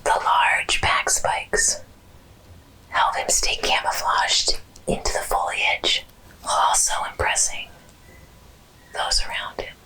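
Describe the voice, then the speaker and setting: A woman host narrating educational animal facts in an ASMR style, whispering slowly and deliberately. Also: extremely low pitch, completely flat delivery, pushed and bright, low-quality recording. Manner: whispers slowly and deliberately through most of the talk.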